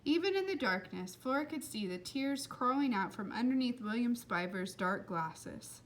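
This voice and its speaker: Animated woman reading aloud, fairly high voice. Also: normal speed. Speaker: read-aloud host and storyteller. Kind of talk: expressive children's book read-aloud.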